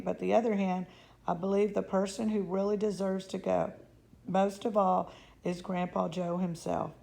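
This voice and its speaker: A woman reading aloud as a mild, modest father who talks gently and hesitantly. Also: lowish voice, gravelly.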